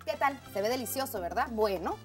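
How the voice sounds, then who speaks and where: A woman's voice: on the high side, lively intonation, fairly quick, over music. Upbeat woman hosting a cooking show. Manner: warm, upbeat, addresses viewers as friends. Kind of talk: scripted cooking demonstration.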